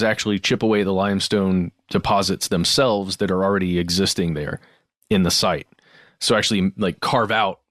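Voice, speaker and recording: Lower-voiced male podcast co-host. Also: no echo, studio quality. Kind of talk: casual conversational discussion.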